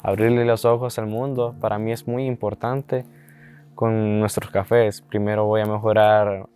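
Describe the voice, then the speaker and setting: A Honduran coffee producer with a Honduran Spanish accent, speaking earnestly and pausing to find his words in a documentary interview. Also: lowish voice, level tone, dark tone.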